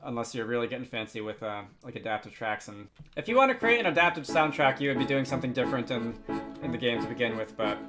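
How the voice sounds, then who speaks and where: A man narrating a software tutorial in a casual, informal way, pausing often as he explains. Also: medium pitch, lively intonation, faint music behind. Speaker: tutorial host.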